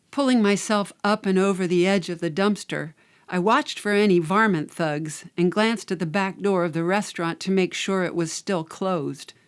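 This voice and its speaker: A woman narrating first-person fiction in an expressive, animated storytelling manner, in the character of a streetwise youngster. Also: fairly low pitch.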